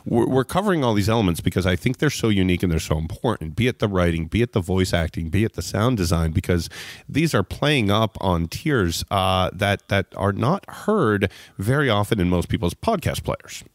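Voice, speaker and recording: Low-voiced man hosting an interview, dry sound. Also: big pitch swings, a little fast, dry recording. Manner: effusive, wordy, circling phrasing. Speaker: podcast host and interviewer. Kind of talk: enthusiastic interview talk.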